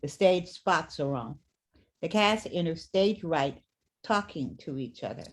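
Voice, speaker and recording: Low-voiced woman reading stage directions. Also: expressive, a little slow. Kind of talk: scripted narration for a staged reading.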